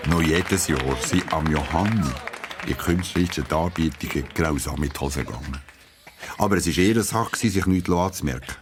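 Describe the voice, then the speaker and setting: A man with a Swiss German accent narrating a children's radio play as an expressive storyteller. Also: extremely low pitch, normal speed, crowd noise, noisy background.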